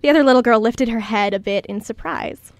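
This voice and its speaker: A woman's voice: a little high, fairly quick, no room sound. A woman reading scripted story narration as the narrator of a radio play.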